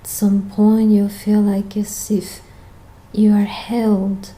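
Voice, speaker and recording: Woman, meditation guide, very even intonation, soft dark tone, gentle and soothing, long pauses. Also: mid-range voice, low-quality recording.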